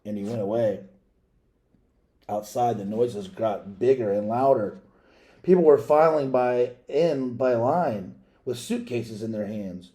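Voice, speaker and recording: A man reading a children's picture book aloud as a storyteller, in a measured, dramatic way with pauses and asides to listeners. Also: medium pitch, mellow.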